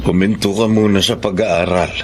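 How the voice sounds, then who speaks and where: A man's voice: low voice, steady tone, normal speed, background noise. A man playing a practical, serious father in a Filipino radio drama, speaking plainly and giving instructions. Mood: firm. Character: practical, serious father of a poor village family.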